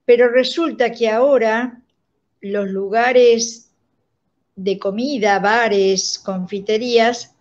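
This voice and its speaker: A woman's voice: fairly high pitch, fairly even intonation, takes it slowly, a little mellow. An older woman with an Argentine accent, explaining things in conversation as an interview guest. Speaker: radio drama director, guest. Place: indoor room, on a video call. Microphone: video call device microphone. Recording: dull, lo-fi call audio.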